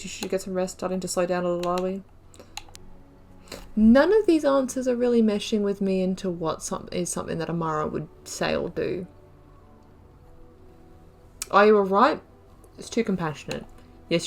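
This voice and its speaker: A woman's voice: medium pitch, creaky. A woman giving casual game commentary, thinking aloud with lots of fillers as she picks dialogue options. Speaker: gaming YouTuber. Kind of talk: casual let's play commentary.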